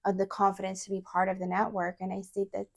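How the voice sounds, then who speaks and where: A woman guest chatting casually on a podcast, animated, with frequent fillers and laughter. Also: mid-range voice, quick pace. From Chilean heritage. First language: English. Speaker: podcast guest, nonprofit founder. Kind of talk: casual interview chat.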